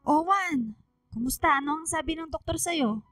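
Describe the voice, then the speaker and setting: A woman's voice: sits high, moderate pace, a bit bright, no room sound, faint music behind. A woman playing an anxious, fussing middle-aged Filipino mother and wife in a radio drama, fretful and insistent. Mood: concerned, bossy.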